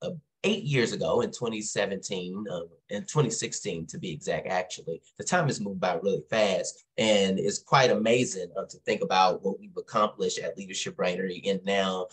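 A man's voice: sits low, moderate pace, few pauses, a bit bright, low-quality recording. Earnest Texan man on a video call. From Texas. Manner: earnest, with many ums. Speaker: nonprofit co-founder, guest speaker. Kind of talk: webinar talk. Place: small room at home.

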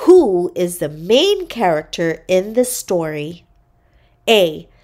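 A woman hosting a children's read-aloud quiz, speaking in deliberate, separated phrases. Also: fairly low pitch, very animated, darkish tone.

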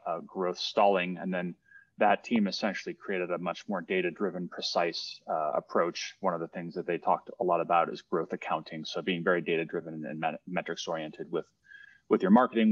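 A man from Los Angeles co-hosting a webinar, explaining in a relaxed, halting way with repeated words. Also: low voice, no room sound. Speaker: webinar co-host. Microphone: video call. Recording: dull, dry online call audio.

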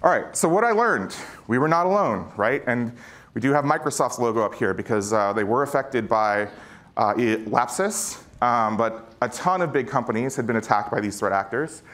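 A man's voice: mid-range voice, expressive, normal speed, a bit bright. A middle-aged man giving an informal conference talk on stage, slangy and conversational, often checking in with listeners. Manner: conversational, slangy, peppered with rhetorical check-ins. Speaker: keynote speaker, former security chief.

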